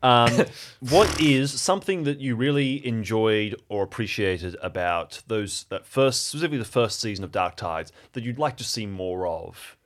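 A man's voice: mid-range voice, normal speed. A man co-hosting a show in casual, unscripted banter, with a wry, self-deprecating manner. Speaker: podcast co-host and improv voice actor.

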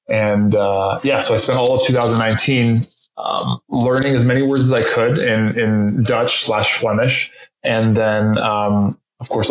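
A man whose first language is French answers interview questions in a low voice. His talk is halting and full of fillers and restarts. The recording carries a roomy echo. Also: a little hiss or hum. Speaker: interview guest. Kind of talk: casual interview conversation.

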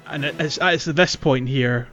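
A man talks through a video game as a podcast co-host in a casual, conversational way. His voice sits at a middling pitch, and he explains things haltingly, with a jokey streak. Music plays underneath. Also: sharp tone, highs cut off.

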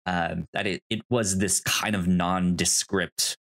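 A man's voice: low voice, fairly even intonation, creaky, a bit bright. A man hosting a podcast in a casual discussion, speaking hesitantly and often stammering over his words. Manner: hesitant, stammering, halting delivery. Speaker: podcast host.

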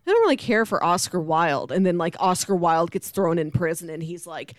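A woman's voice: fairly low pitch, lively intonation, a little fast, dry recording. Chatty woman podcast host, dry close sound. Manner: chatty, enthusiastic storyteller. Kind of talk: casual pop culture discussion.

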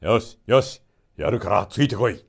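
A man's voice: lowish voice, animated, normal speed, creaky, husky, pitched below the performer's own voice, heavily acted. A man voicing a brave, hearty young folk hero in a storybook reading, firm and confident. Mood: commanding, proud.

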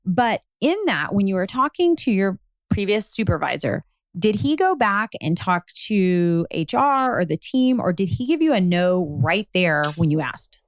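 A woman's voice: mid-range voice, very animated, unhurried, dry recording. A woman hosting an interview, affirming her guest and asking probing follow-up questions. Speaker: podcast host.

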